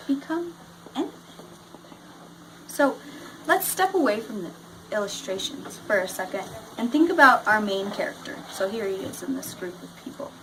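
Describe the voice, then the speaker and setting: A woman teacher leading an interactive read-aloud lesson, questioning and prompting her pupils in a school classroom. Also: a little high. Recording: noisy classroom, clear background noise.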